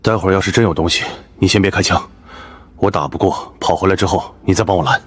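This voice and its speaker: A man playing a blunt, grumbling tough-guy survivor in an animated drama. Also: deep voice, fast talker, slightly rough. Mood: wary. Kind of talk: animated web drama acting.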